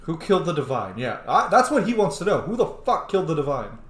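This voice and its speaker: A man's voice: fairly high pitch, very animated, fast. A man giving casual, sweary, mocking commentary as he roleplays through a video game. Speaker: gaming video host. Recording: echoey room.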